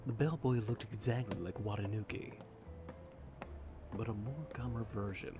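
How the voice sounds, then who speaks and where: A man voicing a stoic teenage boy who speaks tersely and deadpan, in a fan-dubbed radio drama. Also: on the low side, moderate pace, lightly acted. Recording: soft music behind.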